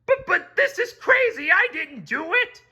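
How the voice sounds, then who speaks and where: A man's voice: very high-pitched, very animated, unhurried, sharp tone, dull audio, pitched above the performer's own voice, heavily acted. A man reading aloud while voicing a nervous male crab restaurant owner who whines and stammers. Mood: pleading. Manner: whiny, stammering, pleading.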